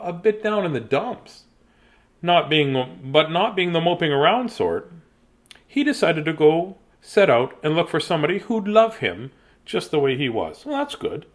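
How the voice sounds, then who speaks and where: A man in his fifties reads children's bedtime picture books in an animated, playful, childlike way, with chatty asides. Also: a little high. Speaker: children's storyteller. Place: small decorated room indoors.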